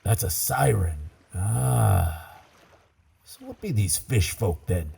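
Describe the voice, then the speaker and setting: A man playing a gruff, curious werewolf pirate captain in an audio roleplay, with a low gravelly voice, a stage pirate accent and slow, musing seafaring talk. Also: lively intonation, mellow, heavily acted. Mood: baffled, wary.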